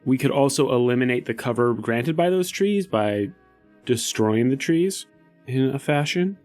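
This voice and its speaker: A man's voice: mid-range voice, lively intonation, a little slow, darkish tone, faint music behind. A man playing a tabletop game, talking through ideas in a casual, conversational way. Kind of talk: casual strategizing chat.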